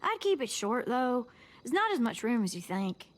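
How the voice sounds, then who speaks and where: A woman's voice: on the high side, very animated, normal speed. A woman playing a chirpy, bustling teenage party organizer in a video game, with an upbeat, folksy manner. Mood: busy, cheerful.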